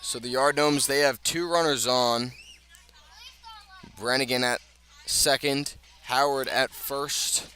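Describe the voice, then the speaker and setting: A man's voice: mid-range voice, fairly even intonation, takes it slowly, long pauses, sharp tone. A man with an American accent calling live baseball play-by-play on the radio. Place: outdoors at a ballpark. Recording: outdoor ballpark ambience, clear background noise.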